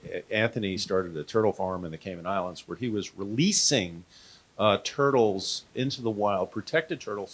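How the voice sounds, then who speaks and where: A man speaking off the cuff on a discussion panel, haltingly and with frequent filler phrases. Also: on the low side, rough recording. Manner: halting, frequent "you know". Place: live panel event before an audience.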